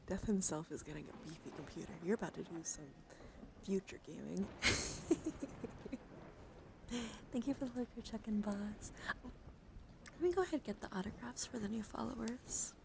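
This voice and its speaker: A woman hosting an ASMR livestream, chatting softly and often slipping into a whisper with careful, deliberate enunciation. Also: mid-range voice, gravelly. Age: adult, over 18. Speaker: streamer host. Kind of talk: soft ASMR livestream chat. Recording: noticeable background noise.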